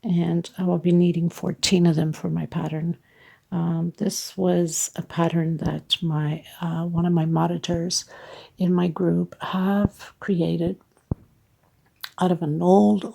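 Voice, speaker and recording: A woman's voice: lowish voice, flat delivery, slow pace, vocal fry, dark tone. A woman with a congested, stuffed-up voice, informally explaining a craft as a tutorial host. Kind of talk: casual spoken tutorial.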